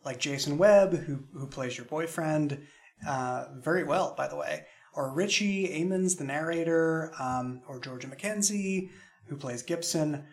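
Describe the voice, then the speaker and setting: A man's voice: on the high side, measured pace. A man hosting a conversational podcast roundtable as the show's creator. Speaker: host, show creator.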